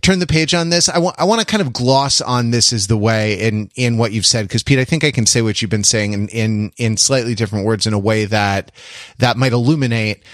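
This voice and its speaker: Male podcast co-host, mid-pitched, very dry sound, hesitant, searching delivery in casual analytical discussion. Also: fairly quick, no echo. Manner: hesitant and searching, repeats and rephrases himself.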